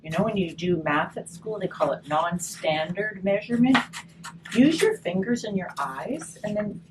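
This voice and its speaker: A woman's voice: mid-range voice, expressive, moderate pace. A woman giving a casual step-by-step craft demonstration, chatty and encouraging, thinking aloud as she works, recorded on a distant mic. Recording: distant mic, noisy room ambience.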